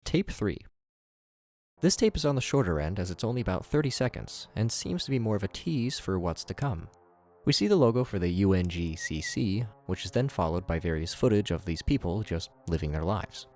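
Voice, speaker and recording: A man's voice: deep voice, sing-song, brisk, darkish tone. A man giving scripted video commentary in a casual, opinionated, enthusiastic way.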